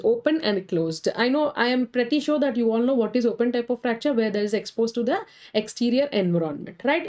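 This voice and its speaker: Indian woman teaching a live online class, has a cold, slightly high voice, brisk, stops to answer the chat. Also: a little mellow. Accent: Indian English. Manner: brisk, reads chat names and answers viewers. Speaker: medical exam lecturer.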